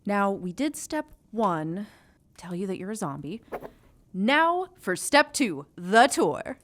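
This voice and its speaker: A woman's voice: medium pitch, sing-song, takes it slowly. A woman playing an eager, officious and self-conscious young witch with a chipper, theatrical manner in an audio drama.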